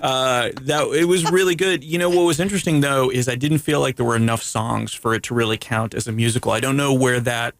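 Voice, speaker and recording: A man's voice: mid-range voice, fairly quick, vocal fry, hardly any pauses, a little hiss or hum. A man hosting a casual, joking interview chat. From Greek family background. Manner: joking, conversational. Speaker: host.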